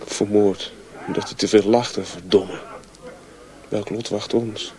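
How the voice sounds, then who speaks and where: Urgent, blunt radio-drama scavenger. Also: male voice, fairly low pitch, fairly even intonation, slow, warm tone. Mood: shocked, grim. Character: scavenging man hunting radio parts, eager and blunt.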